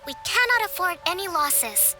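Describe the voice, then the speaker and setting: An actress playing a composed, caring female resistance commander in a video game, speaking formally and with measured reassurance. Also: very high-pitched, big pitch swings. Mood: firm. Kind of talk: scripted video game character acting. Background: background music.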